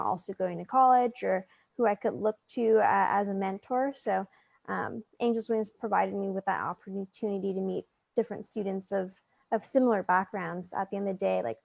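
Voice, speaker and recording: A woman's voice: mid-range voice, creaky, no echo. A young woman answering interview questions earnestly, with lots of "like" fillers. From United States. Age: young adult. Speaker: scholarship recipient guest.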